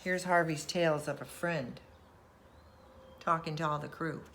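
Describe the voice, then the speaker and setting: A woman reading a children's storybook aloud as bedtime narration, in an expressive storytelling manner. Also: sits low, measured pace, a little hiss or hum.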